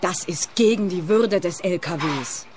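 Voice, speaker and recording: A woman's voice: fairly low pitch, unhurried, lightly acted. An actress playing a passionate, defiant woman who longs to drive trucks, dramatic in radio-play style. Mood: defiant, yearning. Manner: impassioned, dramatic. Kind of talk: German-language radio play acting. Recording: clear ambient background noise.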